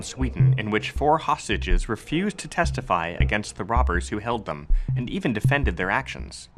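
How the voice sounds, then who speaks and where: A man performing as an impersonal, measured, matter-of-fact narrator reading scripted exposition in an audio drama. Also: fairly low pitch, fairly bright tone. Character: matter-of-fact male documentary-style narrator.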